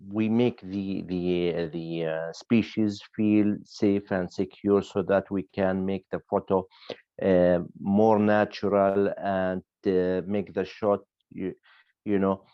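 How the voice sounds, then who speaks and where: A man speaking hesitantly on an online panel as a photographer, with many filled pauses. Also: low voice, smooth, no echo. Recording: dry, dull, lo-fi sound.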